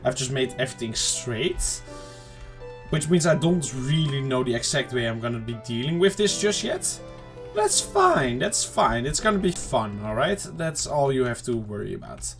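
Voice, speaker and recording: A mid-pitched man chats loosely to his viewers while he plays, talking half to himself as he goes. His throat sounds a bit off and he keeps clearing it. Soft game music plays quietly behind him. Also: sing-song, normal speed. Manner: rambling, chatty self-talk to viewers. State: throat slightly off, clearing it often. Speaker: gaming channel host. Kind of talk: casual let's-play commentary.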